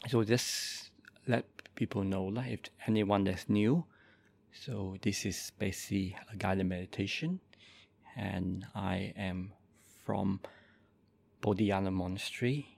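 A Buddhist monk in his forties from Malaysia, speaking softly and calmly as he introduces and leads a guided meditation. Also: sits low, vocal fry, husky. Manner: slow, soft-spoken and calm, with gentle pauses.

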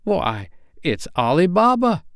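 A man voicing an excitable old man in a storybook, exclaiming earnestly with emotion close to both laughter and tears. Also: normal speed, vocal fry, no echo, lightly acted. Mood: excited, ecstatic. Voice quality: high, between laughing and crying. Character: excitable old man, ecstatic and tearful at memories.

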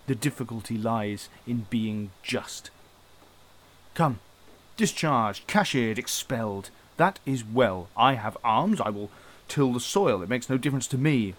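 A man's voice: mid-range voice, natural voice. An actor playing a stern, rigid male police inspector with formal, severe speech. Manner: formal, severe, self-condemning declamation. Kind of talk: radio drama acting.

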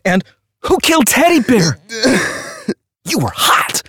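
A fawning, needling superfan in an audio drama. The voice swoops widely in pitch and lingers over slow phrases. It sounds close and dry, theatrical throughout. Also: male voice, wildly swooping pitch, fairly bright tone, husky, dry recording, exaggerated voice. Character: gushing, needling obsessive fan of a dead star.

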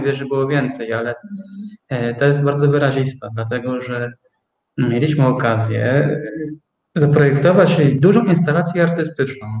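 Echoey, slightly muffled recording. Male interview guest, halting conversational storyteller, medium pitch. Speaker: interview guest, business co-owner. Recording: echoey room, slightly dull sound.

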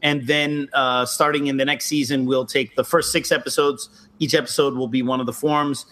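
Mid-pitched male co-host, measured and explanatory. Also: fairly even intonation, a bit bright. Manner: explanatory, measured, mildly critical. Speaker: co-host, martial arts instructor. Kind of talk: casual podcast chat.

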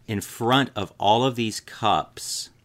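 A man around thirty hosting a casual chat, explaining tarot cards slowly and deliberately. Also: lowish voice, fairly bright tone. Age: 30s. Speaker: host reading tarot. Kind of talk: casual conversation.

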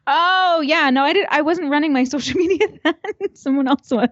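A woman's voice: high-pitched, fairly quick, fairly bright tone, no echo, highs cut off. A woman chatting casually as a podcast guest, giggly, self-mocking and free with swearing. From Canada. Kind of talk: casual chat.

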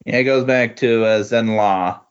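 A man's voice: mid-range voice, normal speed, clean tone. A man chatting casually as a podcast guest, hesitant and often trailing off, on a dull, lo-fi recording. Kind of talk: casual chat. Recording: dull, lo-fi sound.

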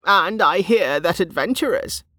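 A narrator putting on a high-pitched, teasing old woman. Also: animated, a little slow, sharp tone, slightly rough, dry recording, clean studio sound, full character voice. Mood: bawdy teasing. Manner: teasing, knowing, calls people girl and dear. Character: cackling, teasing, bawdy old woman market stallholder. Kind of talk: audiobook character dialogue.

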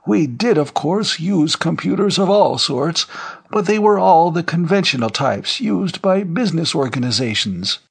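A man's voice: a little high, animated, normal speed, creaky, husky, voice pushed up, full character voice. A man voicing a frail, very old retired general in an audiobook, issuing formal, emphatic denials with haughty dismissal. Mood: firm denial. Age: over 100. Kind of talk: audiobook character voice.

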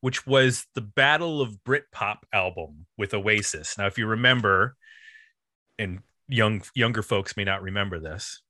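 A man hosts a music podcast with a bright, forward voice and a nasal Buffalo accent. The sound is very dry and close. He talks casually and likes to wander off into jokey asides. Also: lowish voice, measured pace, no echo. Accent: Buffalo, New York. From US East Coast. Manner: joking, chatty, digressive. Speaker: podcast host.

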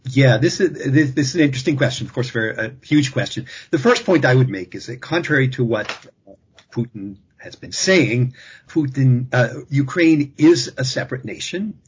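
Husky guy on a panel, thinking out loud, lots of restarts, muffled lo-fi audio. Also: mid-range voice, animated. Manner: measured, thinking aloud with frequent restarts. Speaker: expert guest. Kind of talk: panel discussion.

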